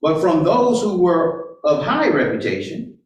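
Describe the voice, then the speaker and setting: A man's voice: a little high, sing-song, measured pace. Man preaching in an echoey hall. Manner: asks rhetorical questions and tags sentences with "right?". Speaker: pastor or Bible teacher. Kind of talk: interactive sermon. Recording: roomy echo, far from the mic.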